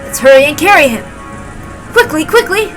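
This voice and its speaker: A woman's voice: sits high, expressive, normal speed, fairly bright tone, soft background music, voice pushed up, rough recording. A woman voicing a frantic, pleading older female servant in a dramatic story reading. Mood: panicked, pleading.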